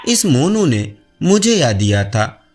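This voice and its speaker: A man's voice: mid-range voice, big pitch swings, slow, a little mellow, soft background music, pitched below the performer's own voice. A man playing a greedy, gullible storybook snake. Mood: pleading. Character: gullible greedy male snake, self-serving and cowardly.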